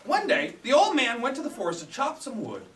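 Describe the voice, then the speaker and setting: A man telling a story live to children as a puppet show host, in an animated way, prompting them to join in. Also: very high voice, fairly quick. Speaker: puppet theater storyteller and director. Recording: echoey room, distant mic, faint noise.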